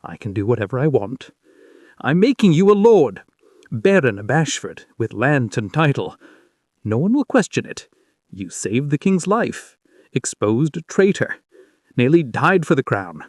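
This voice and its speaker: A man's voice: mid-range voice, big pitch swings, unhurried, a little mellow, no room sound, clean studio sound, voice pushed up. A man voicing a fierce, anguished king in love in a dramatic story, impassioned and pleading. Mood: resolute, commanding.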